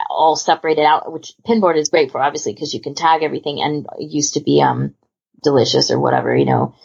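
A woman's voice: lowish voice, creaky, darkish tone, husky, muffled recording. A woman hosting a podcast in casual chat, talking in a rambling, enthusiastic, informal way. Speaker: podcast host. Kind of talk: casual chat about hobby organisation.

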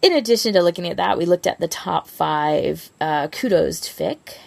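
Low, very creaky woman podcaster. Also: animated, normal speed. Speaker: podcast co-host. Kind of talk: casual chat.

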